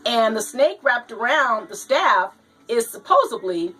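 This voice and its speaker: Woman vlog host, a bit hoarse from a cold, very slow delivery, faint music underneath. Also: on the high side, big pitch swings, bright, forceful tone, poor audio. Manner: slow, halting, says "you know" and "now". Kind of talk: reading and talking to viewers.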